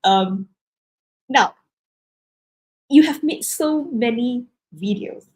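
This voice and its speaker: A woman's voice: fairly high pitch, lively intonation, extremely slow, darkish tone. A woman hosting a casual interview, speaking in a hesitant, wordy way with many conversational asides and filler phrases. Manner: hesitant, wordy, peppered with "like, you know". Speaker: interview host.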